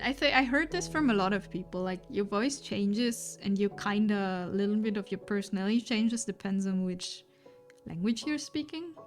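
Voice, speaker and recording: A young woman whose first language is German, livestreaming and chatting casually while she draws, thinking aloud with fillers and restarts. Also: mid-range voice. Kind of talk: casual chat while drawing. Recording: faint lofi music underneath.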